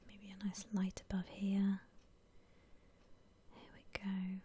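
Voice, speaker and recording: Slow, breathy whispering woman. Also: mid-range voice. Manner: gentle, unhurried, relaxed. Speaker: video game streamer narrating her building session. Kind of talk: whispered ASMR game commentary.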